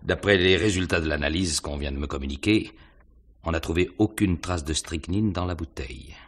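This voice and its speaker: A man's voice: sits low, normal speed. An actor playing a courteous, probing police inspector in a 1950s-style French radio mystery, hesitant and musing as he questions.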